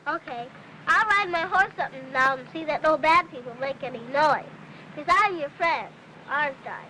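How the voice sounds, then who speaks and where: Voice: high-pitched, moderate pace, bright, forceful tone. A young performer playing an eager, boastful little boy in an old film, bossily pretending to be a cowboy. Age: child. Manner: bossy play-acting, boastful. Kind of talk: 1950s film acting. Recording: noisy old film soundtrack.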